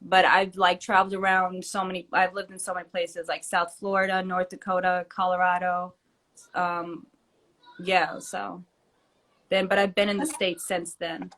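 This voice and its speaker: A young woman, a rapper born in Germany to Turkish parents and raised in the US, talking casually in an interview with lots of fillers and quick laughs. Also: fairly low pitch, level tone, fairly bright tone. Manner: chatty, laughs often, many fillers. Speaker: rapper and entrepreneur, guest.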